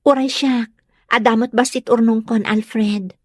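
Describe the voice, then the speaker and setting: A woman's voice: a little high, steady tone, a little slow, dry recording, clean studio sound. A woman playing an earnest, stubborn young village woman, hurt by gossip, in an audio drama. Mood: hesitant, tender. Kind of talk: Ilocano audio drama acting.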